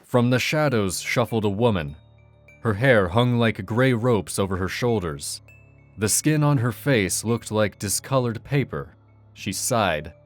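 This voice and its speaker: A man with a low voice narrates a dark fairy tale. His delivery is scripted and dramatic, and music plays clearly behind him. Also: expressive, measured pace. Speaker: storyteller.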